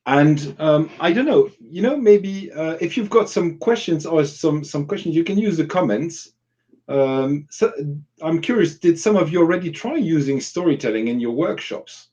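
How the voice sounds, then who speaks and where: A man's voice: a little high, animated, normal speed, mellow, muffled recording. A man giving informal, explanatory advice as a workshop facilitator in a live online discussion, illustrating points with example questions.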